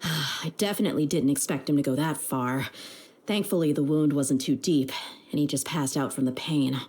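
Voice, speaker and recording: Low-voiced tough desert mercenary woman. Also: moderate pace, a little mellow, slightly rough. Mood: startled, concerned. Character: tough, confident female desert mercenary, young adult. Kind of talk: video game voice acting. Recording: music behind the voice. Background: game music.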